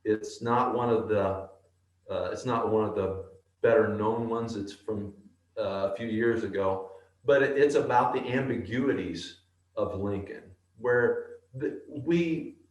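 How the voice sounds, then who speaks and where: A male actor with a deep bass voice, answering interview questions slowly and thoughtfully, with many pauses and restarts. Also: a little mellow, roomy sound, rough recording. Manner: slow, thoughtful, halting.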